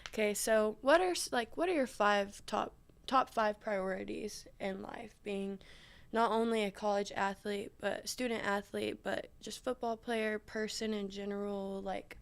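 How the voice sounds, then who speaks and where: A young woman at middle pitch with a lot of vocal fry. She hosts a casual sports interview, talking chattily and loosely, with plenty of "like" and "you know" along the way. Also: steady tone, measured pace. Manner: chatty, many fillers like "like".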